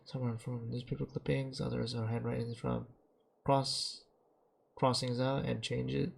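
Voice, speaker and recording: Male game player reading text dramatically, creaky and rough-edged, halting delivery, even intonation. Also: medium pitch, slight background noise. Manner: halting, stumbles over words while reading. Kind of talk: dramatic read-aloud narration.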